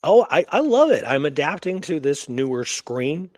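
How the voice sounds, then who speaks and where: A man's voice: a little high, big pitch swings, normal speed, dry recording. A man in his forties co-hosting a show, chatting casually in a colloquial, rambling way. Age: 40s. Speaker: podcast co-host. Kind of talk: casual chat.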